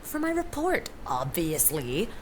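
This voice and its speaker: A woman playing a pompous, excitable sprite who acts as an official observer in a family audio drama. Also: sits low, unhurried, a little hiss or hum, exaggerated voice. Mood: self-important, insistent. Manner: officious, pompous, dictating formal reports. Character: bossy, excitable female mountain sprite, self-appointed official observer.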